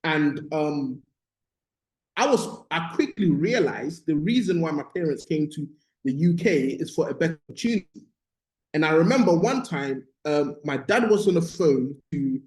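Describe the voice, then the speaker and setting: Male coach, higher-pitched voice, roomy echo, emphatic, repetitive, motivational live teaching. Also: darkish tone. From born in Ghana, moved to the UK at eight. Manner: emphatic, repeats key phrases, checks in with "right?" and "okay?". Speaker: business mindset coach leading a course. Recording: roomy, echoing sound.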